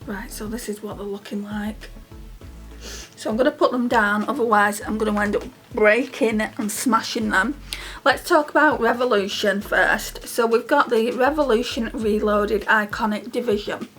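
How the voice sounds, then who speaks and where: A woman's voice: on the high side, moderate pace, a bit bright, faint music behind. A British woman talking casually to her viewers as a beauty vlogger, in a rambling, chatty way. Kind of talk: casual chatty makeup vlog.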